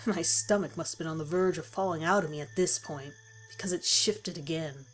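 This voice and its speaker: Female horror narrator, lower register, dramatic first-person scripted read, faint music underneath. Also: fairly quick. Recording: music behind the voice.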